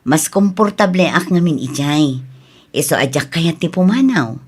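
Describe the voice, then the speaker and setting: A woman plays a motherly schoolteacher in a radio drama, her voice sitting a little low. She has a Filipino accent and talks in a kindly, encouraging, coaxing way. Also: lively intonation, moderate pace, slightly rough. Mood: kindly, persuasive. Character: kindly, encouraging female schoolteacher, older than her student. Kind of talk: Ilocano radio drama acting.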